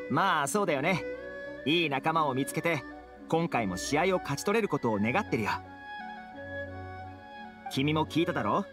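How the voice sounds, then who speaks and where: Lively, friendly young game hero. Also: male voice, on the high side. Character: friendly, easygoing young man, fantasy game companion. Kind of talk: Japanese anime game voice acting. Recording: background music behind dialogue.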